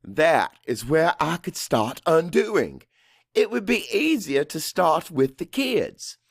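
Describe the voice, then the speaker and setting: Guy reading a drama audiobook, real slow and brooding, close dry sound. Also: medium pitch, no echo. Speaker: audiobook narrator. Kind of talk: first-person dramatic fiction narration.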